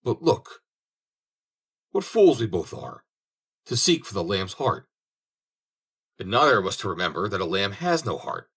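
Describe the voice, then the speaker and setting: Man doing a sly greedy soldier, raised voice, husky, lively. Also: on the low side, measured pace, long pauses, exaggerated voice. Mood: wheedling.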